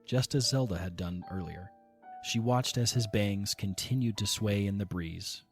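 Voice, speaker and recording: Guy narrating a fantasy audio story, lowish voice, measured scripted read, music behind him. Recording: voice over background music.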